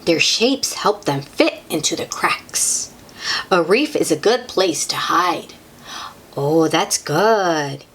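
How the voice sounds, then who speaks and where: Slow-paced woman reading aloud to children. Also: on the low side, expressive, fairly bright tone, slight background noise. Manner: child-directed, asks the listener questions, exclaims in wonder. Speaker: host reading picture books aloud to children. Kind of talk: children's read-aloud with simple commentary and questions.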